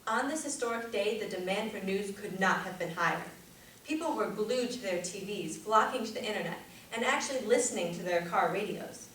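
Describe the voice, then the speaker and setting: A young woman speaks from some distance in a very reverberant room, with faint noise in the recording. Her delivery is a rehearsed, persuasive competition speech, formal and deliberate. She projects her words at a medium pitch. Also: lively intonation, fairly bright tone. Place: echoey indoor room at a speech tournament. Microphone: distant camera or room microphone.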